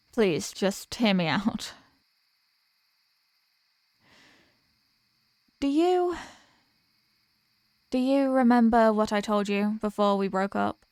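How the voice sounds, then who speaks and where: A woman playing a remorseful villain and former hero, confessing to a lost love in a halting, earnest dramatic monologue. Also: medium pitch, darkish tone, smooth, dry recording, clean studio sound, natural voice. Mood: hesitant. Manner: halting, earnest, pausing between phrases. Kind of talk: dramatic audio roleplay monologue, voicemail confession.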